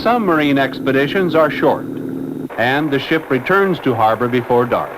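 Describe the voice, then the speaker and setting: A man narrating a scripted 1960s educational film in a formal, measured, expository manner. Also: mid-range voice, animated. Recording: noisy old 1960s film soundtrack.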